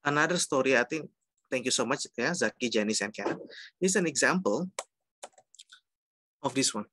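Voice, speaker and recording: A man's voice: medium pitch, animated, brisk, sharp tone, slight background noise. Chatty Indonesian-accented male lecturer. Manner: chatty and jokey, with acted-out quotes and asides; mixes Indonesian words into English. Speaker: university lecturer. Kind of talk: informal interactive lecture.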